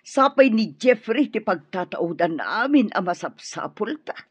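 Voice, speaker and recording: A woman with an Ilocano accent playing an excitable, scheming mother with a husky voice in a radio drama. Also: mid-range voice, a little slow, no echo, dull audio. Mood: calculating.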